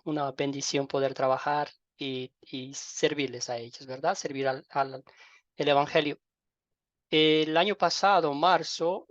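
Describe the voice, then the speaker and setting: Over a muffled, dry online call, a middle-aged man with an Ecuadorian accent speaks as a pastor to his class. His delivery is informal and halting, with repeated words and affectionate diminutives. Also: a little high, dry recording. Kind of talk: informal welcome and self-introduction to a class. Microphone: online group call microphone. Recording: dull lo-fi online call audio.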